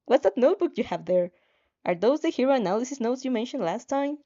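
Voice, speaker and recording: An earnest, friendly protagonist read by a woman speaks casually in an audio story. The voice is soft and mellow. The sound is a little muffled. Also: on the high side, lively intonation, fast. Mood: cheerful.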